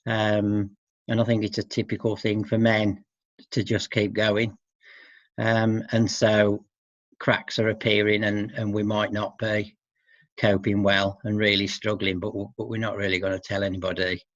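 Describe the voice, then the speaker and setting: A middle-aged man speaking as an expert guest in an informal interview, hesitant and halting, often seeking agreement with tag questions. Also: on the low side, completely flat delivery, dry recording. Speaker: expert guest who works with men. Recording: dull, muffled lo-fi audio.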